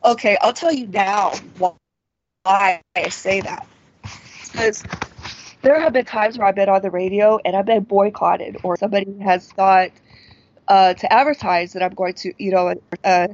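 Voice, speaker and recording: Forties woman guest, slow and halting, lots of restarts, muffled lo-fi sound. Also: medium pitch. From born in Taiwan, raised in Pennsylvania. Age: 40s. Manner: hesitant, many fillers and restarts. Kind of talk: casual interview, personal testimony. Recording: dull lo-fi audio.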